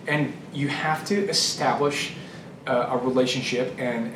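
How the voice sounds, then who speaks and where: A man giving conversational sales advice as a trainer and host, blunt and emphatic, repeating phrases for stress. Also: medium pitch. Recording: echoey room, distant mic, noisy.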